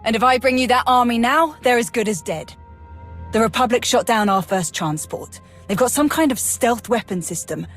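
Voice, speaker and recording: An actress playing a brash, wry military major in a video game, blunt and breezy. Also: female voice, fairly high pitch, normal speed, fairly bright tone, faint music behind. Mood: concerned.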